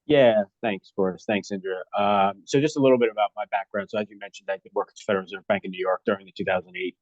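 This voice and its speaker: Low-voiced man, dry and slightly dull audio. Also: fairly even intonation, quick pace, no echo. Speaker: guest, risk consultant and former regulator. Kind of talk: explanatory interview talk. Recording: dull, band-limited call audio.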